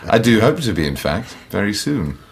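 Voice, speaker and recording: An actor playing a troubled, guilt-ridden war veteran in a radio drama, clipped and understated, with a deep gravelly voice. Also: male voice, big pitch swings, normal speed, slight background noise. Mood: dry, candid.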